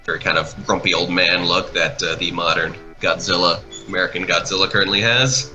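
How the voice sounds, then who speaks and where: Male guest, low pitch, very sharp bright tone, enthusiastic and halting, conversational. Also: steady tone, soft background music, poor audio. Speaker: guest, creator and voice actor. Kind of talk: casual chat, commentary over an art video.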